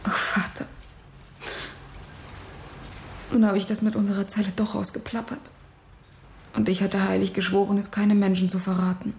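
A woman's voice: mid-range voice, little pitch movement, fairly quick, a little mellow, slight background noise, lightly acted. An actress playing an earnest, defiant young factory woman in a radio drama, speaking with intensity. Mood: subdued. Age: young adult. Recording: roomy, echoing interior.

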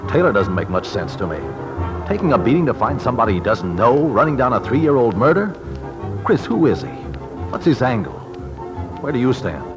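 An actor in a 1940s radio drama playing a concerned, sceptical friend who questions insistently and protectively. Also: male voice, mid-range voice, sing-song, fairly quick, highs cut off, music in the background, close to an everyday voice. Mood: sceptical, urgent. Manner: urgent, questioning.

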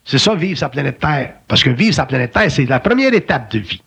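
A man's voice: mid-range voice, very animated, a little fast, vocal fry, fairly bright tone, husky. A man with a Québécois French accent, giving emphatic, colloquial spiritual talk in a philosophical conversation. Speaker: spiritual speaker.

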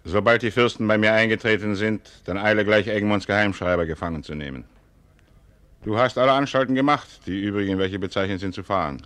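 Deep, stern duke, acted by a man, measured and authoritative. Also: a bit bright, no room sound, lightly acted. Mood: commanding. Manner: measured, authoritative, formal stage diction. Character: stern, calculating older duke, commanding father and military ruler. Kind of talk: classical German radio play acting.

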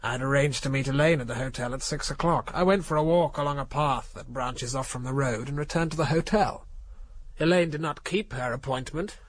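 An actor playing a smug, prickly idealist in a radio drama, cool, precise and self-righteous. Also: male voice, mid-range voice, brisk, breathy edge, lightly acted. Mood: cool, guarded. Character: smug, prickly political idealist under questioning. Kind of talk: British radio drama acting.

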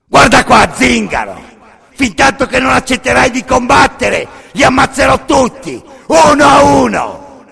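A screaming, brutal camp kapo. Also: male voice, extremely high pitch, expressive, measured pace, vocal fry, bright, forceful tone, husky, raised voice, voice pushed up, exaggerated voice. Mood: murderous rage. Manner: bellowing, taunting, menacing. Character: brutal sneering male camp kapo, ex-boxer bully. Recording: long reverberant hall.

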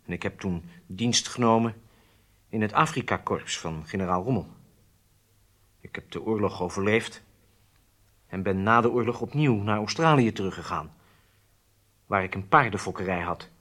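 An actor playing a weak-willed, cornered spy who confesses, hesitant and submissive, in a radio drama. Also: male voice, on the low side, moderate pace, pauses often, a bit bright. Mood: confessing.

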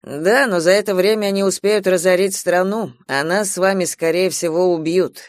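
Man as an urgent alarmist gentleman, higher voice. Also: fairly even intonation, moderate pace, dry recording, studio quality, close to an everyday voice. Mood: gloomy.

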